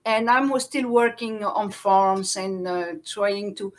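A middle-aged woman with a French accent, a guest telling her life story in a halting way, often restarting and searching for words. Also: mid-range voice. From born in Central Africa, raised in France. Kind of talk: personal life story in conversation.

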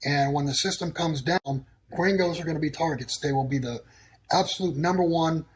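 A man's voice: on the high side, normal speed, poor audio. A man speaking as a guest on a talk show, giving blunt, declarative warnings in short, clipped sentences. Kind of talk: casual talk-show answers. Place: in Texas.

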